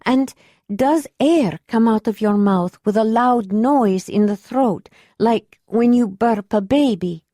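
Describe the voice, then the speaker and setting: A woman voicing a calm foreign healer with an unusual accent, asking careful, gentle questions. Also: a little high, slow, no room sound, clean studio sound, voice pushed up. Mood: probing. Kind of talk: audiobook character voice.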